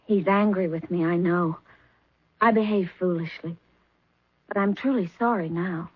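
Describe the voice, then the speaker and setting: A woman's voice: fairly low pitch, unhurried, husky, dry recording. A woman playing a charming, scheming young poisoner in a 1970s radio drama, speaking sweetly and politely. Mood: contrite. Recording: dry, dull old radio broadcast.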